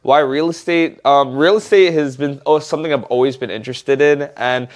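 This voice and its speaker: A man's voice: medium pitch, normal speed. Man, 20s, from Hawaii, chatty interviewee. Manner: talkative, loose, laughs at himself. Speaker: realtor. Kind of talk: sit-down interview answers.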